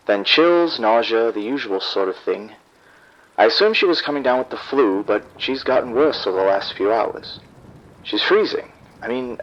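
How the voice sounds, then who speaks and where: A man playing a curt, defensive husband on a dull phone line. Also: medium pitch. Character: irritated, proud husband and father on the phone. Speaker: caller. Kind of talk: audio drama acting.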